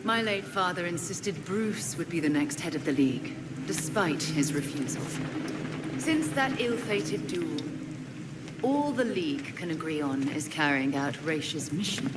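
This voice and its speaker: An exiled assassin for a game cutscene, cool and aloof. Her voice is on the low side, formal and controlled, under loud vehicle-like ambient noise. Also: lively intonation, measured pace. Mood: cool, grave. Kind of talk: video game cutscene acting.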